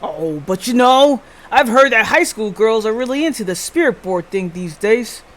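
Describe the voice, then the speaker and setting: A woman voicing an eager, chatty junior detective from a visual novel, excitable and fascinated by the occult. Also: fairly high pitch, normal speed, natural voice. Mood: enthusiastic.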